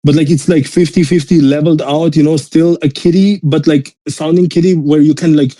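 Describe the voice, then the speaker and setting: A man from Austria with a mixed European accent and German as his first language speaks in a slightly raised, mellow register. He rambles emphatically through casual motivational talk, dropping bro slang as he goes. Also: a little high, fairly even intonation, a little fast. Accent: mixed European accent in English.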